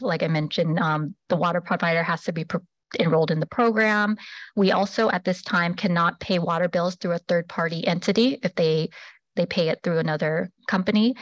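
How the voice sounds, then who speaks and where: Halting woman presenting a program. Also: lowish voice, no echo, poor audio. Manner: halting, many fillers and restarts. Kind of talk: public meeting presentation.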